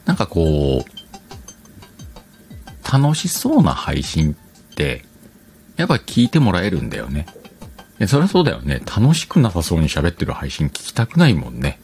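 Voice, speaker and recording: Man, low voice, swinging intonation, casual solo radio talk, colloquial, faint music behind. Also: wildly swooping pitch, normal speed, pauses often, a little mellow. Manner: chatty, colloquial, addresses listeners directly. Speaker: online radio show host.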